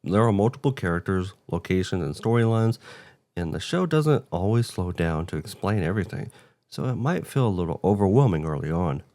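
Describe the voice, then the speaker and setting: A man's voice: deep voice, animated, fairly quick, mellow. A man co-hosting a video game show, reading a scripted presentation. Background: background music.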